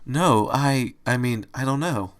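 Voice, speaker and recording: A man's voice: fairly high pitch, expressive, fairly quick, pushed and bright. Man voicing a timid stammering little female rabbit. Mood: bewildered. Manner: hesitant, stammering. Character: timid anxious female pony turned small rabbit.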